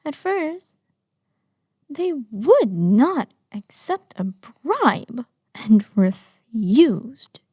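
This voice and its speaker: Slow, sweetly menacing lovesick woman acting a kidnapper girl. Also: fairly high pitch, dark tone, dry recording, clean studio sound, exaggerated voice. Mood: smug. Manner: sweetly menacing, slow, breathy murmurs with sighs. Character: obsessive lovesick young woman, soft-spoken menacing kidnapper. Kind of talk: intimate ASMR audio roleplay monologue.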